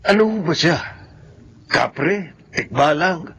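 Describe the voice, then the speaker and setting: A man's voice: fairly high pitch, animated, slow pace, fairly bright tone, slightly rough, exaggerated voice. An actor playing a curious, uneasy young man asking hesitant questions in a Filipino radio horror drama, with a Filipino accent. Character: curious, uneasy young male relative of healer. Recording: dull, noisy with clicks.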